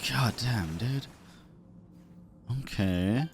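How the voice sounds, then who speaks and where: A young man doing casual, slangy game-stream commentary, reacting out loud and swearing freely. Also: on the low side, extremely slow, a little hiss or hum.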